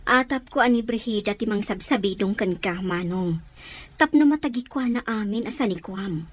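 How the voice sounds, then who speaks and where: A woman's voice: medium pitch, normal speed, lightly acted. A woman playing an earnest, protective younger sister in a radio drama. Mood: concerned.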